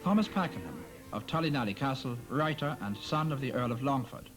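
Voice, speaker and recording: A man reads scripted documentary narration with a dry, wry polish. His voice sits at an ordinary middle pitch, and music plays clearly beneath him. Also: expressive, brisk, rough recording.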